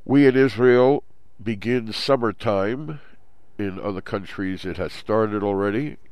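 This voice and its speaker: A man hosts a Torah study program in a lowish, smooth voice that sounds nasal and stuffed up. He explains each point steadily and mixes in Hebrew terms. The recording is dry and close, with no room sound. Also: unhurried, darkish tone, no room sound. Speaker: host. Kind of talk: Torah study show with scripture reading. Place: Jerusalem.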